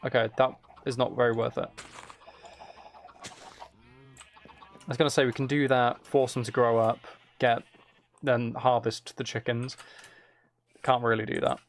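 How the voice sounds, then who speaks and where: A man's voice: mid-range voice, flat delivery, unhurried, long pauses. A man giving casual gameplay commentary, thinking aloud in a musing, hesitant way as he plans. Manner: musing, self-talking, hesitant planning. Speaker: gaming streamer. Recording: keyboard clicks and typing noise. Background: faint noise, computer keyboard and mouse clicks.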